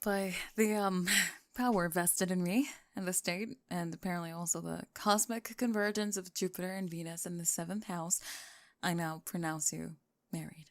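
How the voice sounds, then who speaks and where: A woman plays a female minister in a scripted audio roleplay. Her voice is on the low side with a dry, roomless sound. She delivers formal ceremony words haltingly, sounding bemused and unsure. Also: fairly even intonation, dry recording, lightly acted. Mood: hesitant, bemused.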